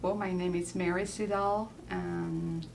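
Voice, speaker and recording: Woman, forties, Peruvian Spanish accent, lowish pitch, relaxed interview guest, slight background hiss. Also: measured pace, gravelly. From Peru. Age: 40s. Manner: relaxed, many soft fillers and laughs. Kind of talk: casual interview.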